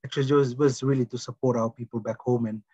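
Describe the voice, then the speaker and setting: A man in his forties with a Fijian accent, talking casually and haltingly as he reflects on his work as a poet in an interview. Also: medium pitch, fairly even intonation, dark tone, husky. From Fiji. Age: 40s. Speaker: guest, poet. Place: small room indoors, on a video call. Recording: dull, roomy video-call audio.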